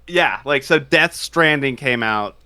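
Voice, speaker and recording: An excitable man co-hosts a gaming podcast, and his voice swings up and down a lot. The tone is bright and crisp. The sound is close and dry on a studio mic. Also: medium pitch, no room sound. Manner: excitable, animated, quick to laugh. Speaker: podcast co-host. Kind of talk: casual gaming podcast chat.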